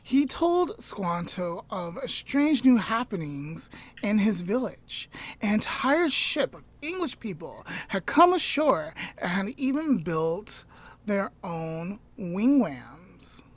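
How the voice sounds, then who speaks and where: A woman casually retelling a story to her podcast co-host, unhurried, often trailing off into a soft near-whisper, with chatty asides and self-corrections. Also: medium pitch, sing-song.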